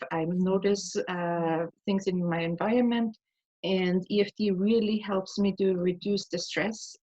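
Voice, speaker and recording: A woman from Austria hosting and guiding a tapping session, speaking calmly with frequent fillers. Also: fairly low pitch. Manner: calm, measured, with many fillers. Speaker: host, EFT practitioner. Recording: slightly dull lo-fi audio.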